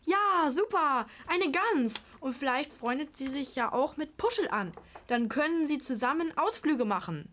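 A female amateur acting as an earnest girl in a radio play. She has a rather high voice and likes to argue her point. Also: expressive, measured pace, hardly any pauses, a bit bright, slight background noise. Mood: eager. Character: earnest, argumentative grandchild, a girl. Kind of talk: amateur audio drama acting.

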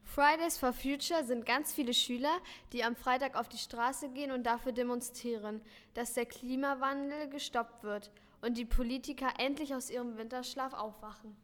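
A woman's voice: high voice, fairly even intonation, normal speed, a bit bright. A young female school student reading scripted questions and explanations for a radio report. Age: child or teenager. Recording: echoey hall.

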